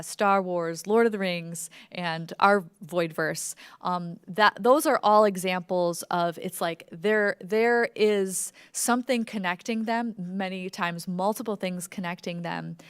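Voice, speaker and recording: A woman's voice: mid-range voice, clear, resonant tone, no room sound, clean studio sound. A woman giving an informal workshop presentation as a podcast creator, talking in a conversational, enthusiastic way peppered with "like". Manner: conversational, enthusiastic, wordy with frequent "like".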